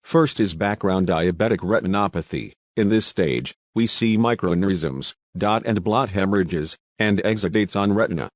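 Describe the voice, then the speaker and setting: A man's voice: deep voice, normal speed, few pauses, no room sound, studio quality. A man from Somalia presenting a scripted educational narration as a health lecturer. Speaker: presenter of an eye health lesson.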